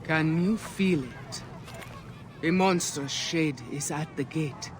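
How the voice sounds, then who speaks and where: A man's voice: on the high side, slow pace. A man playing an ominous, mystical ancient seer in a video game, speaking in portentous, incantatory phrases. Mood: foreboding. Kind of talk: dramatic video game acting. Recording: heavy background noise, outdoor ambience.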